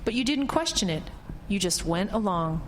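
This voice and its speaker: An actress playing a knowing, stern female ghost in a horror audio drama, measured and accusing. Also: mid-range voice, lively intonation, slight background noise, natural voice. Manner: measured, accusing, coolly certain.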